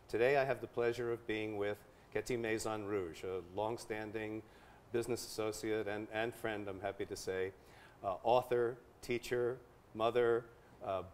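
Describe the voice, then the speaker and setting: A man's voice: lowish voice, slight background noise. A man hosting an interview show, welcoming his guest and asking about her life, with frequent "uh" fillers and encouraging short replies. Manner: warmly encouraging, many "uh" fillers and short backchannels. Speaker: interview host. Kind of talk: hosted interview.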